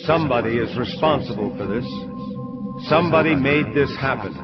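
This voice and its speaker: A hardboiled angel detective, played by a man, grim and determined, talks in plain, clipped phrases at a medium pitch. Loud music sits behind the dulled audio drama sound. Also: muffled recording, lightly acted. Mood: vengeful resolve. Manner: plain-spoken, terse, deliberate.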